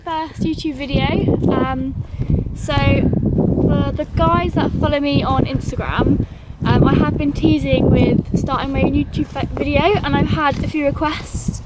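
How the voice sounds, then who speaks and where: A chatty young woman from England with a high voice talks casually, full of ums and little laughs at herself. She is walking outdoors in a forest, recorded on a phone with loud background noise all around her. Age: 20s. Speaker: vlogger. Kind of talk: casual chat while walking.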